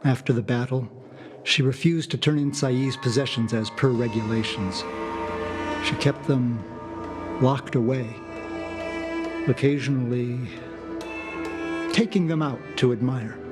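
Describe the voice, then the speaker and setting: An actor playing a courteous, wistful long-lived subordinate in a video game, speaking in a formal, measured way. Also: male voice, mid-range voice, natural voice. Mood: somber. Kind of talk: video game character voice acting. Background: loud music.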